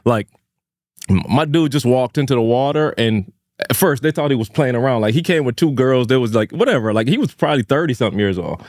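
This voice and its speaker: A man's voice: medium pitch, lively intonation, a little fast, a little mellow, no room sound, clean studio sound. A man from Barbados telling stories casually and reflectively, earnest and emphatic, with slangy phrasing. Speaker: podcast co-host.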